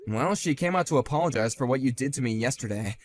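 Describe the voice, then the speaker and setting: A man voicing a calm, sardonic grown man who talks in a level, dry and cutting way, in a one-man voiced drama. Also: medium pitch, quick pace, faint music behind, voice pushed down, lightly acted.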